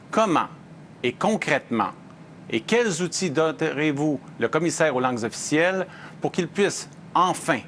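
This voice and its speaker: A man from Ontario speaks as a citizen in a measured, deliberate way, clearly reading prepared text. A faint noise sits under the voice. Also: medium pitch, fairly bright tone. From Ontario, Canada. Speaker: citizen asking a question. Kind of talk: prepared statement and question.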